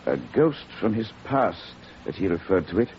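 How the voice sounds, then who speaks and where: A dull, faintly hissy vintage radio recording presents an earnest male doctor. He sounds worried and proper, pausing and hesitating as he gives his careful account. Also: deep voice, animated. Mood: grave, urgent. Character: earnest, worried male country physician seeking help. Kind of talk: 1940s radio drama acting.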